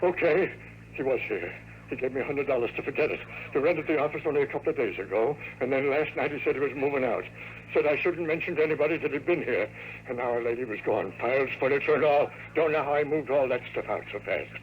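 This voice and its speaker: A man's voice: medium pitch, fast, natural voice. A man acting a dim, easily scared American janitor in an old radio drama, evasive, slangy and stammering. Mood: panicked pleading. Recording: noisy old radio recording, faint hum.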